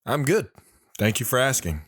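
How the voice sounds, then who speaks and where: A man's voice: medium pitch, sing-song, moderate pace, fairly bright tone, husky. An actor playing a mild, self-deprecating man who tells first-person stories of his youth in an audio drama. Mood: mild, wry. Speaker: storytelling radio show guest.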